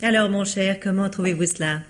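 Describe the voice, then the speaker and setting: A woman's voice: medium pitch, a little fast, over music, natural voice. An actress playing a self-assured, flirtatious society woman in a French mystery radio drama, polished, coquettish and imperious. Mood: bossy, inviting.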